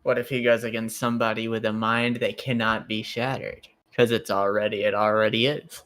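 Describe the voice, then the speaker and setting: A man's voice: on the low side, little pitch movement, brisk, fairly bright tone. A man chatting casually as a player in a group tabletop roleplay game.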